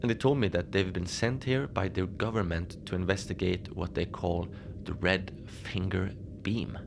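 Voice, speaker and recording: A man acts out a lone polar night-shift manager in a cozy fiction podcast. The voice is low and creaky, with clear background noise. He rambles mildly and haltingly, casual and unbothered, as if confiding late at night. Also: steady tone. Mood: wry storytelling. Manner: halting, rambling, understated, apologetic. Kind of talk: cozy audio drama monologue, casual and confiding.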